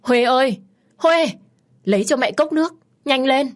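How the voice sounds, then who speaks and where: A gentle young widow in an audio drama , voiced by a woman storyteller. She speaks earnestly and dutifully to her elders, pitched above the narration with a husky grain. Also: normal speed, natural voice. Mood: alarmed, urgent. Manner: deferential, earnest.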